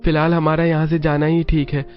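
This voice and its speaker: A man voicing a gentle, dutiful young Indian son, earnest and respectful, in a cartoon family drama. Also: a little high, completely flat delivery, brisk, dull audio, faint music behind, close to an everyday voice.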